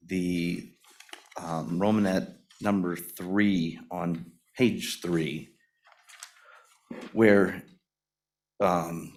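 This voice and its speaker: A retired teacher, an older man, giving deliberate public testimony with many pauses and ums. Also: sits low. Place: legislative committee hearing room.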